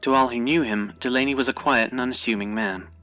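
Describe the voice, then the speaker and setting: A man's voice: medium pitch, fast talker, bright, forceful tone, soft background music, lightly acted. A man playing an earnest city news reporter in an audio drama, with formal, dramatic broadcast delivery. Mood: grave, formal.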